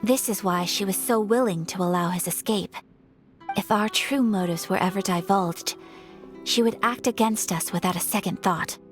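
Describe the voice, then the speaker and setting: A woman's voice: mid-range voice, close to an everyday voice. A woman playing a composed, dutiful young noblewoman in an anime-style video game, speaking formally. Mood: cautious. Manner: formal, measured wording. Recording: faint music behind the voice.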